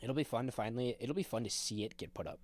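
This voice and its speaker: A man's voice: mid-range voice, expressive, fast. A young man co-hosting a podcast in casual chat, joking and swearing loosely. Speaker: podcast co-host.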